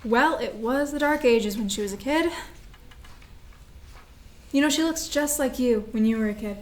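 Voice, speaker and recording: Naive, immature little sister in a stage drama, read by a woman, notably high voice, hesitant delivery. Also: moderate pace, natural voice. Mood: wistful, confused. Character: Pearl, immature younger sister, grown and as child. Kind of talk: staged play reading. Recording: live staged reading.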